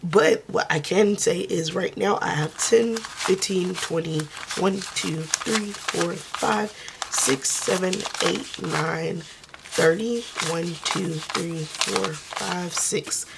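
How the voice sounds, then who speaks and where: A woman who is losing her voice speaks softly and casually, counting money aloud in a budgeting vlog. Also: takes it slowly, creaky, background noise. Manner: soft, low-key, counting under her breath. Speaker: budgeting vlogger.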